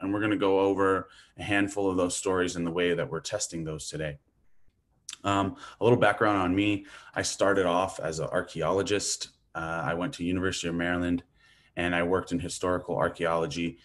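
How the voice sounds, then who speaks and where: A man from Hawaii giving an academic lecture over a video call, talking loosely with fillers like "like" and "um". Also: deep voice. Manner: casual, thinking aloud with fillers. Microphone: video call webcam mic.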